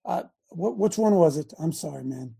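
A man's voice: on the high side, expressive, normal speed, vocal fry, dark tone, slightly rough. A man hosting a live talk stream, talking emphatically and directing his producer between remarks.

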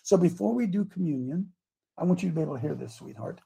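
Older guy, gravelly and hoarse, folksy preacher-style host, dark rough voice. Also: on the high side, lively intonation, fast, slight background noise, rough recording. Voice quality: gravelly, raspy voice. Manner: folksy, emphatic, repeats phrases. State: hoarse in the morning. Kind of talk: casual morning show talk, preaching.

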